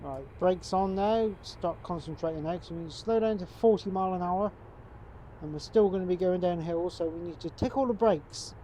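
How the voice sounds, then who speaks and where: Male gaming host, congested from a cold, warm low-key tone, relaxed running commentary. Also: moderate pace, a little hiss or hum. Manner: relaxed, chatty, talks to viewers as folks. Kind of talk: casual gameplay commentary.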